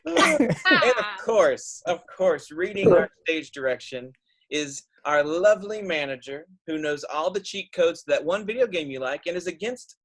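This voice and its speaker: A man with a high, lively voice acts as host over an online call. He reads comic introductions with announcer-like emphasis and big swings in pitch. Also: unhurried. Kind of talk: playful scripted introductions.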